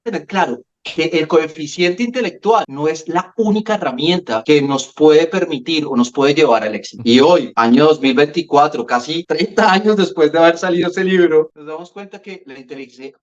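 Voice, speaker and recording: A Colombian man with a Bogotá accent, talking on a casual podcast in a slightly high voice. He explains things in an animated way and often repeats words as he gets going. The sound is dull and lo-fi. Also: normal speed, hardly any pauses. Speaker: podcast co-host. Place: home room with a window.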